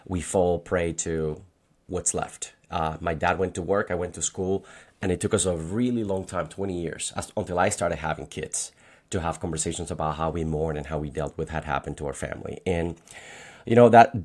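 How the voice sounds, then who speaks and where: A man around forty with a Colombian accent and Spanish as his first language, talking conversationally as a podcast guest. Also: low-pitched. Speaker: podcast guest, financial agent. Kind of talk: conversational personal storytelling.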